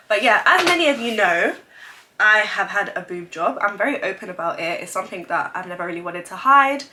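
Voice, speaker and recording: Bright-voiced young woman with a cold. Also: mid-range voice, animated, fairly quick. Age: 20s. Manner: chatty, open, lots of "like" fillers. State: congested with a cold. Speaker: vlogger. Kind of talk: casual sit-down vlog chat. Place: indoors, seated at home. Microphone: camera mic, sitting in front of it.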